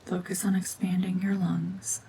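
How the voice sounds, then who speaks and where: A woman acting a cold, domineering mutant witch in an ASMR roleplay drama, hushed and often whispering, with terse, guarded commands. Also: on the low side, little pitch movement, a little slow, slight background noise. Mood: remorseful, tender. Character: renegade mutant cyborg gorgon witch, female, cold, domineering, slowly softening.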